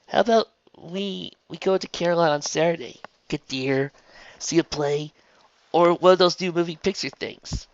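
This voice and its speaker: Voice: medium pitch, moderate pace, no echo, muffled recording, voice pushed up, natural voice. A narrator voicing a guilt-ridden young female pegasus in a storybook, who blurts and stammers. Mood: awkward, hopeful.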